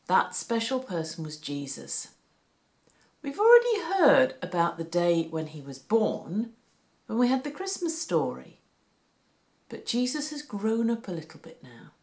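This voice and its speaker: Woman reading a kids bible story, takes it slow, voice goes up and down loads. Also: medium pitch. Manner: deliberate, animated, emphatic delivery. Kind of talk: expressive read-aloud storytelling for children.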